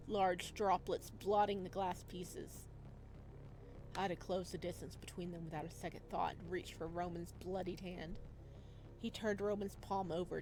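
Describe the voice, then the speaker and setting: A woman's voice: fairly low pitch, darkish tone, a little hiss or hum, low-quality recording. A woman reading a visual novel aloud as dramatic narration for a let's play.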